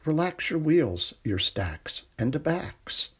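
Slow, husky man reading a bedtime story. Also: a little high, sing-song. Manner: gentle, unhurried bedtime delivery with hushed refrains. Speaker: storytime reader. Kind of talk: reading a children's picture book aloud.

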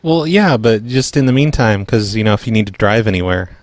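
A man's voice: lowish voice, lively intonation, a little fast, no room sound. A man hosting a prank call show, talking in a casual, matter-of-fact way. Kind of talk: casual podcast talk and prank calling.

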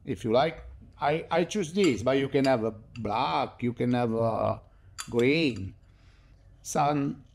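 Slow-talking Italian man cooking at home. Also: mid-range voice, slight background noise. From Italy. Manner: relaxed, chatty, non-native English phrasing. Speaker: cooking show host. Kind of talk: casual cooking demonstration. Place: home kitchen, indoors.

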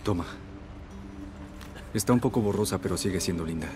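A man voicing a rugged easygoing brother, lowish. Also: a little fast, music in the background, lightly acted. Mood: calm. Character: rugged middle-aged younger brother, easygoing. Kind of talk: dubbed video game dialogue. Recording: game audio with ambient noise.

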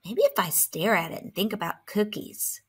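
A woman's voice: medium pitch, lively intonation, moderate pace, husky. A woman playing a grumpy, dramatic math skeptic who complains and exclaims in a children's storybook. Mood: musing.

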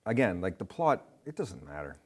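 A man's voice: sits low, sing-song, moderate pace. A man in his forties chatting casually as a guest, rambling and emphatic, often repeating himself. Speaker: guest on a film discussion show. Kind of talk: casual chat. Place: indoor studio stage with curtain. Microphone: lapel mic.

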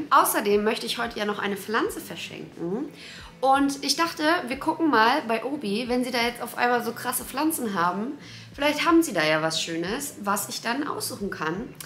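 A young woman vlogging casually and excitedly while browsing plants in a large garden center, sometimes dropping to a whisper. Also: a little high, slight background noise. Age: young adult. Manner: excitable, chatty, often whispering asides. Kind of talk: casual shop-with-me vlog. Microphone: handheld phone camera.

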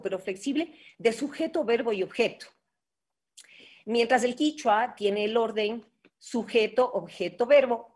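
A middle-aged woman with an Ecuadorian Andean accent, giving an online linguistics lecture in a measured, explanatory way with fillers and tag questions, recorded on a webcam. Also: fairly high pitch, darkish tone. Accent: Ecuadorian Andean Spanish. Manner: measured, explanatory, with frequent fillers and rhetorical tags. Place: home office with bookshelves. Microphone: webcam on a video call.

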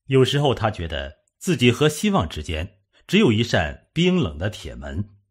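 A man reads a suspense novel aloud as a dramatic narrator. His voice sits fairly low and has a rough, husky grain. The delivery is solemn, with extremely animated rises and falls in pitch. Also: moderate pace. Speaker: audiobook narrator.

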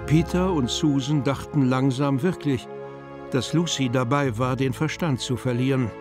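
A man's voice: medium pitch, normal speed, music in the background. A man narrating a children's audio drama in scripted storybook style.

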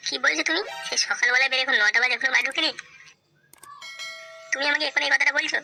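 A woman voicing a fussy, crude, excitable villager in a comedy cartoon. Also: sits high, quick pace, creaky, a little hiss or hum, exaggerated voice. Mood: worried.

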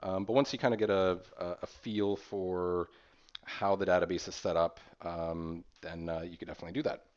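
A man presenting an informal screen-share software tutorial in a hesitant, explanatory way. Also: low-pitched, fairly even intonation, moderate pace. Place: voice-over recorded over screen capture. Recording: dull, muffled lo-fi audio.

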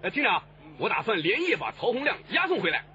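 Eager, fast-talking police captain. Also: male voice, high-pitched, big pitch swings, a crowd in the background. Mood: excited, respectful. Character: eager, respectful, grateful provincial police captain. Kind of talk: radio drama acting. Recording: dull radio drama with clear noise.

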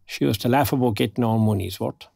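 A man's voice: mid-range voice, quick pace, vocal fry, dark tone, dry recording. An actor playing a lonely, wry retired man in his sixties, with an Irish accent and a dry, wistful, understated manner in a naturalistic audio drama. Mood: wistful, resigned. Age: 60s.

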